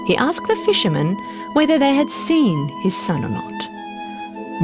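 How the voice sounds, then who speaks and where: Woman narrator, children's story reading, expressive and deliberate, swooping intonation, clear background music. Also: on the low side.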